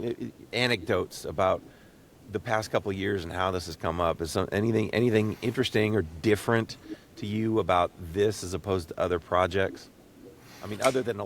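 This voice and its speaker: A middle-aged man hosting a casual interview, often halting and repeating words as he gathers his thoughts. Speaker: podcast host and interviewer. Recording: low rumbling background noise.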